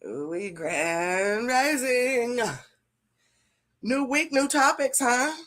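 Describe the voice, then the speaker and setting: A woman's voice: a little high, extremely slow, fairly bright tone. Woman, podcast co-host, stuffy from a cold, slangy, playful casual chat. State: congested from a cold.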